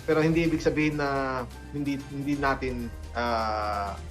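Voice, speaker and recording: A man with a Filipino accent hosting a casual panel talk, speaking haltingly with repeated starts. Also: medium pitch, steady tone. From Philippines. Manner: halting, with hesitant repetitions. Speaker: talk show host. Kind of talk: casual panel talk in mixed Tagalog and English. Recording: music behind the voice. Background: background music.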